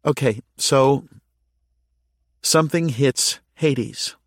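A man's voice: medium pitch, very slow, dry recording, clean studio sound, voice pushed up. A man voicing an earnest, thoughtful woman in an audiobook, questioning and tentatively thinking aloud. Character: earnest, thoughtful woman reasoning through a mystery. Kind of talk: audiobook character dialogue.

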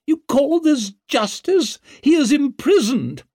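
Man voicing a shrill, imperious aristocratic older mother. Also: sing-song, no room sound, clean studio sound, exaggerated voice. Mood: haughty, threatening. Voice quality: sharp, shrieking edge. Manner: haughty, drawn-out emphatic delivery.

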